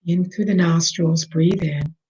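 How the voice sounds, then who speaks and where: A meditation guide leading a slow, soothing breathing meditation, speaking softly and at times almost in a whisper. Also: medium pitch, steady tone, poor audio.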